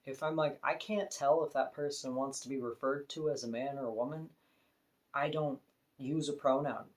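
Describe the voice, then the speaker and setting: A woman with a deep voice, talking frankly and casually to camera in an opinion vlog, now and then laughing softly. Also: fairly even intonation, normal speed. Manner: frank, conversational, with soft laughs. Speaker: vlogger.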